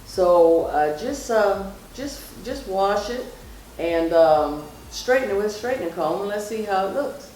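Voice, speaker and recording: A woman's voice: mid-range voice, lively intonation, unhurried, a little mellow. A middle-aged woman telling personal stories and giving advice casually and emphatically, repeating phrases for stress. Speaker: mother, guest on her daughter's vlog. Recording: very echoey room, distant mic, faint noise.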